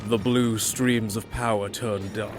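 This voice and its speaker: A man's voice: fairly low pitch, fairly even intonation, unhurried, noisy background. A man giving dramatic, scripted fantasy narration as the storyteller.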